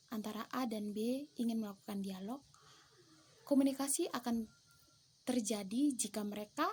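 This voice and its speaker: A woman's voice: fairly high pitch, a little mellow, a little hiss or hum. A young woman from eastern Indonesia, a theology student giving a careful reflective class presentation with some hesitations. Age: young adult. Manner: careful, measured, with hesitations and restarts.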